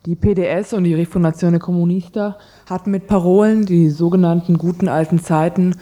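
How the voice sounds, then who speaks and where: Woman, radio presenter, slightly low pitch, extremely mellow dark tone, scripted reading. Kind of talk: scripted news reading and voice-over translation.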